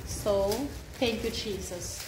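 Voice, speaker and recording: A woman's voice: medium pitch, fairly even intonation, slow pace, heavy background noise. A woman in her thirties, a mother, chatting casually to viewers in a home vlog, teasing and laughing, filmed on a phone held at arm's length indoors. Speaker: family vlogger, mother. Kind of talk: casual vlog chat to camera.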